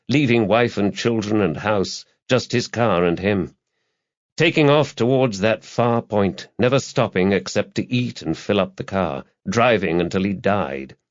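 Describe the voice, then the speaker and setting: A low-pitched male narrator reads a crime thriller novel as a scripted audiobook. The recording is very dry, with a dull, lo-fi sound. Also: no echo.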